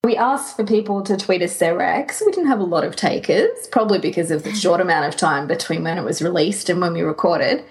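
A woman's voice: on the low side, fairly quick, few pauses. A woman co-hosting a podcast in a casual, conversational fan-chat style. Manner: informal, conversational. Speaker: podcast co-host.

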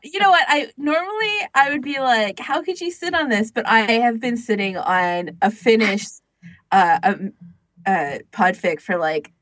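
A woman's voice: a little high, expressive, measured pace, fairly bright tone, no room sound. A woman co-hosting a podcast in casual chat, talking informally and peppering her sentences with "like". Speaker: podcast co-host.

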